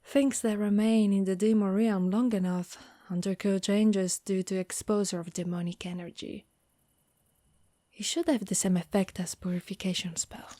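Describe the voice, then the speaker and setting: A woman voicing a gentle, protective undead warrior woman in an ASMR monster roleplay, speaking softly and reassuringly close to the mic. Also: mid-range voice, steady tone, normal speed, no echo, natural voice. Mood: calm, explanatory. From Italy. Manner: soft, caring, reassuring.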